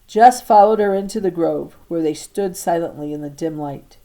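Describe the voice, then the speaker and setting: A woman teacher reading a storybook aloud to her class, expressive, now and then stumbling and repeating a word. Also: on the low side, moderate pace. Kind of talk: storybook narration read aloud.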